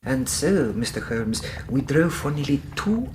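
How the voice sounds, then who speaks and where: An actor playing an anxious, well-spoken Victorian gentleman in a radio drama, formal and precise in manner. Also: medium pitch, big pitch swings, unhurried, noisy background. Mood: earnest recounting.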